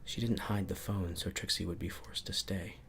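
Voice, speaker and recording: A man reading a bedtime story in a hushed, soothing ASMR narration. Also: low voice, steady tone, moderate pace, slight background noise. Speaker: storybook reader.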